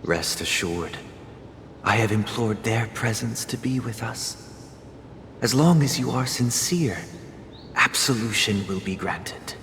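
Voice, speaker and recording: A man playing a composed young religious leader in a video game, hearing confessions in a measured, formal, priestly manner. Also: expressive, fairly bright tone, heavily acted. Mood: gravely reassuring. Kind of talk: formal scripted game dialogue. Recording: long cathedral-like reverb, faint rumbling noise.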